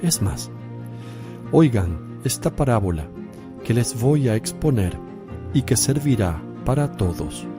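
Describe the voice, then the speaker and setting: A solemn male spiritual teacher in a religious radio play. He speaks very slowly and deliberately, with calm authority, at a medium pitch. Music plays clearly behind the voice. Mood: solemn, instructing. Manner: slow, deliberate, preaching phrasing. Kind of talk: religious radio drama acting.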